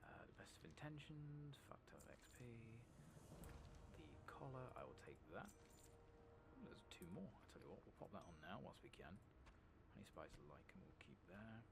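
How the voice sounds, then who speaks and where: Low, creaky, soft-spoken man. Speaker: game streamer. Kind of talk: soft-spoken ASMR gameplay commentary with whispering. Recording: noisy with clicking and game ambience.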